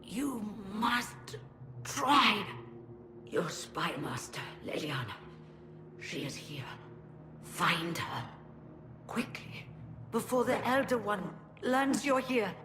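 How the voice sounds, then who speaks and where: A weakened prisoner in a game cutscene, pleading with great urgency. She speaks extremely slowly and haltingly, with big swings of pitch, in a reverberant, noisy hall. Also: big pitch swings. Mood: urgent. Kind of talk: dramatic video game cutscene acting.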